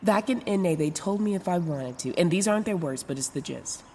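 A woman's voice: lowish voice, animated, a little fast, mellow, long reverb tail, a little hiss or hum. An actress playing a frank, foul-mouthed young woman, newly sober, rambling through a confessional audio drama in sarcastic slang. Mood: reflective. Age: young adult. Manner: rambling, self-interrupting, sarcastic, casual slangy talk. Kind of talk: confessional first-person audio drama narration.

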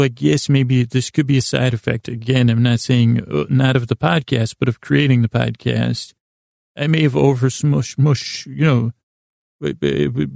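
Very creaky, mellow man rambling soothingly. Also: mid-range voice, dry recording, studio quality. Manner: meandering, halting, repeating and trailing off; lulling, soothing tones. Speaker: podcast host. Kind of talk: rambling sleep-podcast monologue.

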